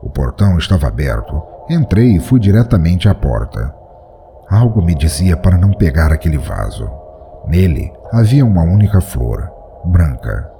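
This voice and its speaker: A man's voice: sits low, very animated, normal speed, vocal fry, background noise. A man reading a first-person horror story aloud in a dramatic, tense storytelling manner. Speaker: narrator.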